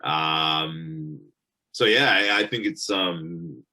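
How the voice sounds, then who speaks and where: A man's voice: a bass voice, very slow, sharp tone. A man in his thirties with a Canadian accent, a musician guest on a video call, speaking hesitantly with many fillers. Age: 30s.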